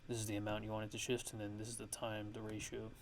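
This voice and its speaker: A man narrating a casual software tutorial as a music production host, speaking haltingly as he thinks aloud. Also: lowish voice, monotone, a little hiss or hum.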